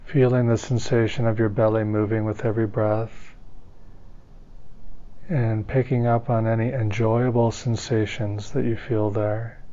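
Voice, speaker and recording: A man leading a guided meditation, giving calm, gentle, unhurried instructions as a teacher. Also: on the low side, highs cut off.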